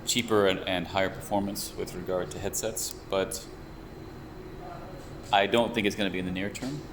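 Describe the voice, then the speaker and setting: A young man working as a VR researcher and developer, explaining his views in a measured, thoughtful way with frequent restarts. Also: low voice. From United States. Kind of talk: explanatory interview talk. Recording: steady air conditioner hum behind. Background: air conditioning hum.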